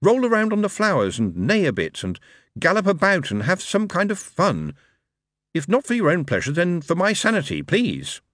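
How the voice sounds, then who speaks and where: A man's voice: mid-range voice, wildly swooping pitch, moderate pace, dry recording, studio quality, pitched above the performer's own voice. A man voicing an exasperated young man pleading with a horse.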